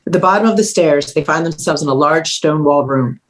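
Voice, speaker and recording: A woman's voice: low voice, brisk, rough recording. A woman reading scripted stage directions as the narrator of a screenplay table read.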